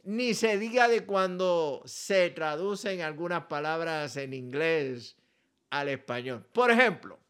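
A Puerto Rican pastor speaks with a smooth, resonant voice that sits somewhat high for a man. He takes his time, spelling things out slowly and deliberately. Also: animated, a bit bright. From Puerto Rico. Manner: slow, deliberate, spells out contact details carefully. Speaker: pastor and ministry director. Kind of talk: scripted radio-style message.

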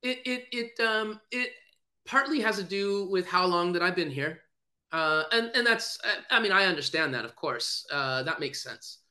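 A man with a bright, forward voice talks in a casual chat. He stops and restarts a lot, stacking fillers as he gathers his thoughts. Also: high voice, expressive. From United States. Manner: halting, many restarts and fillers. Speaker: guest. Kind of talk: casual chat over video call.

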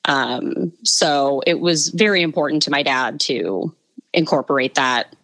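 A woman from Ohio speaking as a podcast guest, chatting casually and relaxed. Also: deep voice, unhurried, no room sound. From Ohio, USA. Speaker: interview guest, model. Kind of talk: casual interview chat.

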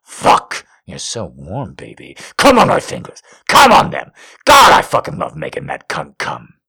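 A man voicing a commanding, growling male lover who speaks low and often in a hushed whisper. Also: huge pitch swings, unhurried, vocal fry, breathy edge, exaggerated voice. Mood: domineering.

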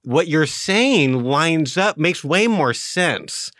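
A man's voice: on the high side, expressive, takes it slowly, a bit bright, dry recording, studio quality. A man co-hosting a casual theology talk, curious and amazed, asking questions. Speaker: podcast producer and co-host.

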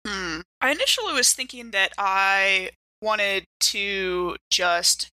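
Very bright-voiced woman playing tabletop games. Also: medium pitch, animated, takes it slowly, no room sound, rough recording. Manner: conversational, thinking aloud. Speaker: player in a role-playing game. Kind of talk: casual improvised tabletop actual play.

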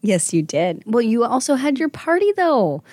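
A woman's voice: a little high, sing-song, moderate pace, a little mellow, no room sound, studio quality. A woman in her thirties hosting a casual chat with her daughter, affectionate and motherly, laughing often. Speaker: podcast host and mother.

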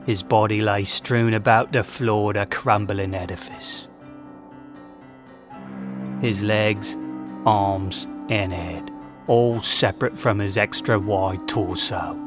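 A man narrating an audio drama in a scripted, dramatic storytelling style. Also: on the low side. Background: music.

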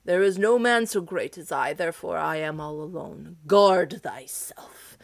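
A woman voicing an enormous, lonely male giant who speaks slowly in a booming way. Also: gravelly, no room sound. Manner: booming, slow.

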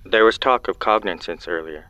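Solemn challenging caller, terse, deliberate, questioning, slightly muffled dry recording, sci-fi audio drama. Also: male voice, sits low, sharp tone, clean tone, no room sound. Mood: grave, challenging. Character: grave, probing male caller challenging official history.

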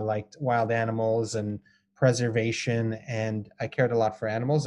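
An American man gives an informal online lecture as a teacher, speaking haltingly with frequent ums and pauses over a video call. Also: medium pitch, a little mellow. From Israel and Colorado, US. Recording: Zoom call audio.

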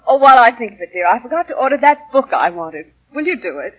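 An actress playing a poised, shrewd wife of a boss, composed and firm, in 1940s radio drama style. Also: female voice, fairly high pitch, very animated, fast talker, slightly rough. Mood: gracious, breezy. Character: poised, shrewd, quietly commanding boss's wife. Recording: muffled 1940 radio broadcast recording.

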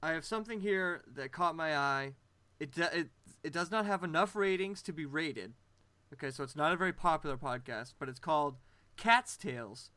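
A man's voice: on the high side, lively intonation, normal speed, sharp tone, no echo. A man co-hosting a podcast, chatting casually and mocking what he reads out, laughing a lot. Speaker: podcast co-host. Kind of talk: casual chat.

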